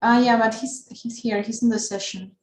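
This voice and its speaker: A woman's voice: fairly high pitch, fairly even intonation, moderate pace. Woman moderating a video call in an echoey room. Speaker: session moderator.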